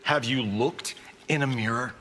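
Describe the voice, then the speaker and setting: An actor playing a cocky, wisecracking Hollywood action star in video game banter, flippant and boastful. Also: male voice, medium pitch, measured pace, fairly bright tone, lightly acted. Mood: taunting. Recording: long reverb, faint background noise.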